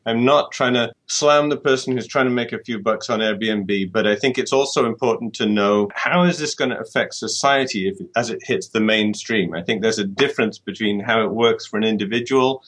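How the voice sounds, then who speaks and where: Low-ish guy, middle-aged, thoughtful interview answers, stumbles and repeats words. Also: normal speed, few pauses, a bit bright. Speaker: author guest.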